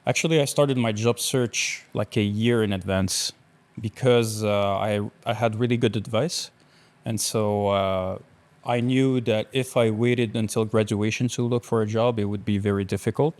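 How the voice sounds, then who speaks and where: A man's voice: lowish voice, steady tone, normal speed, darkish tone. A man in his late twenties from Algeria, talking casually as a guest in a podcast interview.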